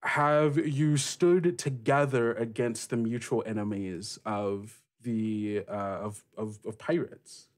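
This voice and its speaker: A man with a mid-pitched voice runs a tabletop role-playing game. He narrates scenes and puts questions to the players. His phrasing is deliberate and halting, as he feels out each piece of the sentence. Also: fairly even intonation. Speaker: game master.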